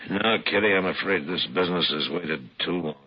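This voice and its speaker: An actor playing a calm, dryly wry US marshal in a western radio drama. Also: male voice, low-pitched, a little fast, natural voice. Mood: relaxed. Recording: dull, noisy old radio recording.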